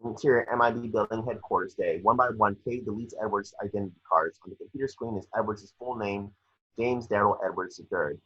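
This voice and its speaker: A man's voice: fairly low pitch, brisk, fairly bright tone, slightly rough. A man narrating scripted action descriptions at an amateur table read, on a slightly dull home recording. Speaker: reader of stage directions.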